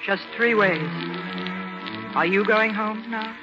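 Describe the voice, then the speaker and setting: A woman's voice: mid-range voice, slow pace, dull audio, music in the background, close to an everyday voice. An actress playing a guarded, nervous young woman being blackmailed, in an earnest, melodramatic 1940s radio style. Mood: frightened, resolute. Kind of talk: 1940s radio drama acting. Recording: noisy, crackly old radio recording.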